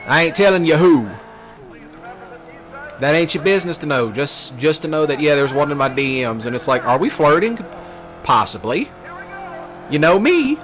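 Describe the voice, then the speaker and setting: Animated Southern US man ranting, highish voice. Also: normal speed, long pauses. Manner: folksy, brash, repeats himself, rural slang. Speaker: streamer. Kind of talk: casual rambling rant over game play. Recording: noisy, with steady vehicle sounds behind.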